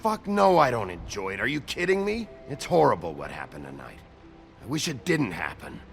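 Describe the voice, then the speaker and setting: An actor playing a gruff, weary, short-tempered detective in a video game, deep and gravelly, blunt and terse. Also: male voice, big pitch swings, moderate pace. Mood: angry, defensive. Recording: music under the dialogue.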